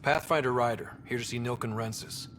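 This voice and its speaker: An actor playing an earnest, fair-minded space explorer in a video game, speaking plainly and conversationally. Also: male voice, medium pitch, fairly even intonation, brisk, fairly bright tone, a little hiss or hum, close to an everyday voice. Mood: calm, inquiring.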